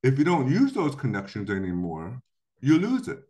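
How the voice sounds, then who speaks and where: A middle-aged man from Korea gives a recorded lecture into a webcam in an indoor room. His voice moves up and down a lot as he explains, and he slips into quick acted-out quotes. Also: mid-range voice, huge pitch swings, warm tone.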